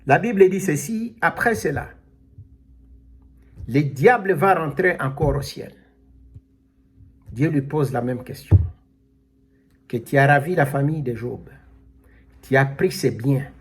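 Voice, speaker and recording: A man preaching a sermon in a slow, drawn-out, emphatic way, now and then calling out alleluia. His voice sits a little high for a man and has a husky edge. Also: expressive. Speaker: pastor.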